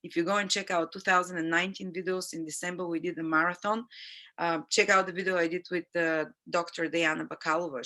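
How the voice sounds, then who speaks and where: A woman's voice: fairly low pitch, bright, forceful tone. A woman astrologer who is sick with a sniffly nose, talking in a chatty, rambling, earnest way on her own live stream. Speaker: astrologer and channel host. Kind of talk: live-stream forecast chat.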